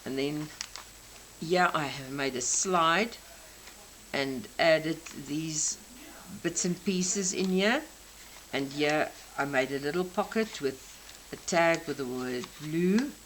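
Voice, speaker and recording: A South African woman in her sixties, a native Afrikaans speaker, gently explaining each detail of her craft journal. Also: sits low, takes it slowly, slight background noise, rough recording. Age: 60s. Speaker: craft channel host. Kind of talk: crafting show-and-tell flip-through.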